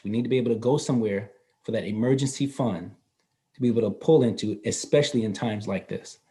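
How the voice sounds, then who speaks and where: A man in his fifties talks through financial ideas in an easygoing, explanatory way. His voice sits at an ordinary middle pitch, and he laughs readily, sometimes restarting his phrases. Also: mellow. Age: 50s. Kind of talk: casual interview explanation. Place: North Carolina.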